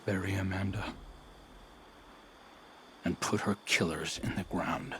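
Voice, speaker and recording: An actor playing a grim, vengeful guardian warrior in a video game cutscene, speaking in terse, restrained phrases. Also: male voice, sits low, steady tone, unhurried, bright, forceful tone, a little hiss or hum. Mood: grim, determined.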